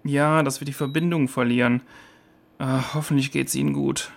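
A man playing a gentle, worried helper back at base in a children's audio drama. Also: medium pitch, fairly even intonation, normal speed, vocal fry, lightly acted.

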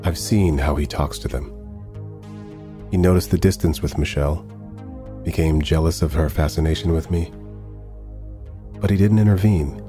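Proud, wistful male android for sci-fi audio drama: low-pitched, formal, articulate, music underneath. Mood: reflective. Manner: formal, deliberate, articulate phrasing.

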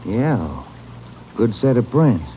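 A wry, clipped American police detective in an old radio drama. He is a plain-spoken sidekick with a mid-pitched male voice, on a muffled recording with faint noise. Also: big pitch swings, slow. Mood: observant.

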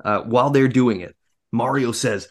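A male podcast co-host in a casual film discussion. He has an average-pitched voice and tells things in an animated way, with the odd swear word thrown in. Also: moderate pace.

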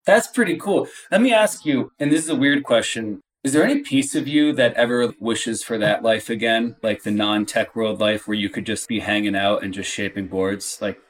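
A man's voice: on the low side, moderate pace, hardly any pauses. Informal male podcast host, slightly distant mic. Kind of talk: casual interview chat.

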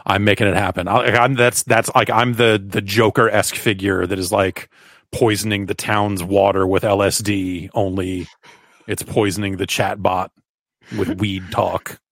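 A man's voice: on the low side, level tone, moderate pace, fairly bright tone, no echo, clean studio sound. A man hosting a podcast in casual comic chat, riffing jokingly and swearing freely. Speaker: podcast host.

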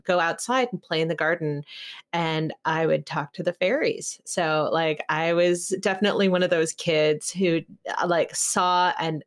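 Lower-pitched woman podcaster, candid and effusive. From California. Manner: candid, effusive, halting storytelling. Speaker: podcast host. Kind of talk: casual conversational podcast chat.

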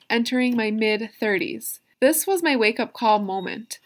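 Earnest woman in her thirties, podcasting. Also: fairly high pitch. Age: 30s. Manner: earnest, personal storytelling with emphatic asides. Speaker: podcast host. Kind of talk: motivational solo podcast.

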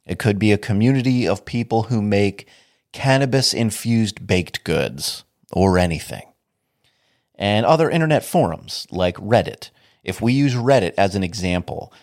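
A man with a low, deep voice hosts a podcast on his own. He talks in a casual, wry way and sounds a bit sniffly from allergies. The sound is close and dry, with no room around it. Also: animated, a little slow, no echo. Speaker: podcast host. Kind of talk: solo podcast monologue.

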